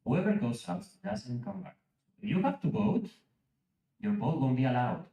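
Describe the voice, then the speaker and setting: A man's voice: mid-range voice, sing-song, normal speed, muffled recording. A man chairing an assembly, giving firm instructions about the rules for the next day. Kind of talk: procedural announcement. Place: large conference hall. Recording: echoey hall, distant mic.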